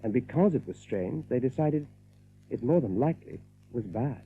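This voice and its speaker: A man's voice: medium pitch, animated, normal speed, a little hiss or hum. A man reading scripted story narration as the narrator of an audiobook drama. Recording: narrow, phone-like audiobook recording.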